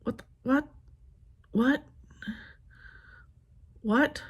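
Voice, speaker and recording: A woman's voice: high-pitched, extremely slow, breathy edge, lo-fi sound. A woman in her thirties chatting casually while doing her makeup, telling rambling, sarcastic dating stories with lots of ums. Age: 30s. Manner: sarcastic, exasperated storytelling. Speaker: beauty vlogger. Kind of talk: casual get-ready-with-me chat.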